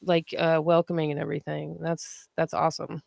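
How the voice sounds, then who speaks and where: A podcast host with a gravelly, fry-laden voice asks questions in a chatty, upbeat way, sprinkling in plenty of fillers. The sound is dry and close, with little room reflection. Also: medium pitch, monotone, fairly quick, a little mellow, no room sound. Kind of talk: casual podcast interview.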